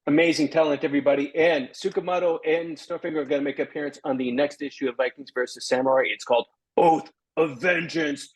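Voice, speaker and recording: A man's voice: a little high, fairly even intonation, quick pace, few pauses, slightly rough, rough recording. A man hosting an interview, upbeat and salesy as he plugs the next release. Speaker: host.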